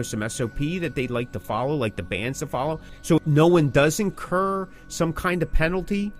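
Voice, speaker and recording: A man co-hosting a podcast, talking casually but emphatically, giving advice and repeating key points for stress. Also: fairly high pitch. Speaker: podcast co-host. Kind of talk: casual talk about the music business, with scripted sign-offs.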